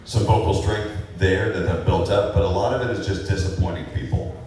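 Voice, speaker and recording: A man with a very low voice talks through fan questions on a convention panel, loose and rambling, full of "uh"s and small laughs. He sounds far from the mic in a big hall with heavy echo and a faint hiss underneath. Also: a little fast, warm tone. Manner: rambling, laid-back, many fillers and chuckles. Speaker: voice actor guest on a convention panel. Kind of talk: casual panel Q&A answers. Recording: echoey hall recorded from far off.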